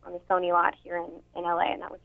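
A young woman with a somewhat deep, low voice talks about her acting work in a loose, chatty way, full of "um" and "like". The sound is thin and tinny, like a phone line. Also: monotone, racing, vocal fry. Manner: chatty, many fillers and restarts. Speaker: actress and voice actor. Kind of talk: casual interview chat.

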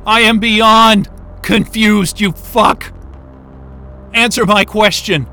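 A high-voiced male astrophysicist acted by a man in a science-fiction audio drama, distressed and bewildered. He drags out his words slowly, stammering and swearing in anger. Faint music runs underneath. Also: pushed and bright, heavily acted. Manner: gasping, stammering, profane.

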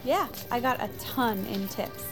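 A chipper young aide in an audio drama. Her high voice is eager, candid and polite. Music is clearly heard behind her. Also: unhurried. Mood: concerned. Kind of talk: comedic audio drama acting.

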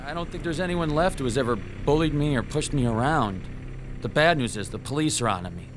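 An actor playing a timid, bullied comic shop owner, hesitant and fretful, in a radio drama. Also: male voice, mid-range voice, animated, a little fast, noisy background, close to an everyday voice. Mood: weary, confessional.

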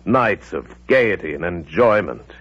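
A man's voice: deep voice, animated, measured pace, fairly bright tone, breathy edge, dry recording. A man narrating a 1940s radio drama in a suspenseful style that builds to urgent intensity, on a muffled old broadcast.

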